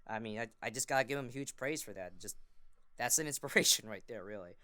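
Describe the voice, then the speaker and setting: Clear-voiced man chatting enthusiastically. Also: mid-range voice, quick pace, sharp tone, dry recording, clean studio sound. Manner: enthusiastic, rambling, repeats himself. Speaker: podcast guest, amateur voice actor and fandubber. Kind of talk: casual podcast chat.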